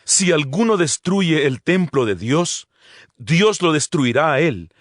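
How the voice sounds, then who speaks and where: An actor playing the earnest, exhorting apostle Paul in a dramatized Bible reading, solemn and measured, with rhetorical delivery. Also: male voice, on the high side, animated, no room sound, clean studio sound. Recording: music bed behind the voice.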